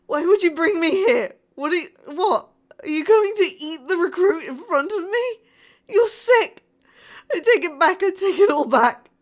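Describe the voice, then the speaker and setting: A woman playing a frightened, pleading yet defiant spaceship crew member in a horror sci-fi audio drama, with halting, emotional delivery. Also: very high-pitched, lively intonation. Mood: pained, panicked, then bitter, defiant.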